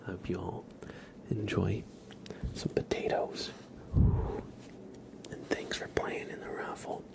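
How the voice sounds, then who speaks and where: A man hosting an ASMR livestream, chatting casually to viewers in a soft, breathy whisper. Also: sits low, level tone, slow pace. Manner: soft-spoken, addressing viewers by name.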